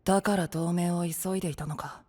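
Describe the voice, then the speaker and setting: A man's voice: high-pitched, fairly even intonation, a little fast, a little mellow, no echo. Cool, sardonic adolescent boy knight. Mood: dryly impatient. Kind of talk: anime-style voice drama acting.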